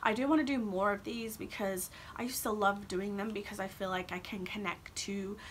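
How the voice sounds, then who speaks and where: A woman's voice: medium pitch, steady tone, moderate pace, slight background noise. A woman, chatting casually and ramblingly to camera as a vlogger, saying "like" often. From Wales and Australia. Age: 20s. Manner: rambling, informal, heavy use of "like".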